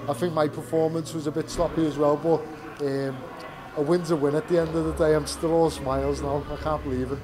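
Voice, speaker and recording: A man's voice: on the high side, flat delivery, a little fast. A British man in his twenties, a fighter talking candidly after a bout, rambling with many fillers and restarts. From United Kingdom. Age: 20s. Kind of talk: post-fight press interview. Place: fight venue media area. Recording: crowd noise behind. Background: crowd chatter.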